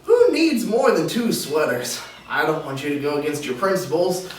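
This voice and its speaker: Guy doing stubborn nervous husband, insistent, swingy pitch, table read far from the mic, echoey. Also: big pitch swings, normal speed. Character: nervous but stubborn husband, contented with odd bargain. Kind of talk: script table read, amateur acting. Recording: distant mic, echoey room, faint noise.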